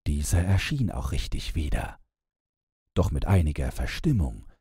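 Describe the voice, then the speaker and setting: A man's voice: a bass voice, sing-song, normal speed, mellow, breathy edge, dry recording, studio quality. A man narrating a fairy tale as a scripted bedtime audiobook.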